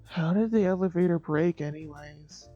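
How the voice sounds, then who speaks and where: A man's voice: on the high side, moderate pace, dark tone, close to an everyday voice. A man playing a depressed, reserved office worker in a comic dub, speaking in a terse, subdued way. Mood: resigned. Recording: dull distant recording with background music.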